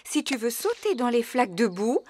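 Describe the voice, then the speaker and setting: Gentle mummy pig in a kids cartoon, high and super animated, storytelling. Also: female voice, normal speed, noisy background. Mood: fond, gentle. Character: gentle mother pig telling family memories. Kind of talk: children's cartoon dubbing.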